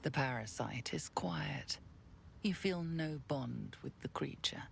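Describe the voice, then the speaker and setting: A woman playing a grave, ominous narrator in a fantasy video game, delivering scripted narration in a hushed, portentous way. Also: low voice, animated, slow, vocal fry, slight background noise, heavily acted. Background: music.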